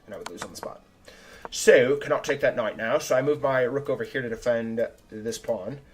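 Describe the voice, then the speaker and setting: A young man, a chess streamer, talking casually and self-critically through his own game, his voice a little raspy. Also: mid-range voice, normal speed, a little hiss or hum. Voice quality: slightly raspy. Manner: chatty and self-critical, thinking aloud move by move. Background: faint background music.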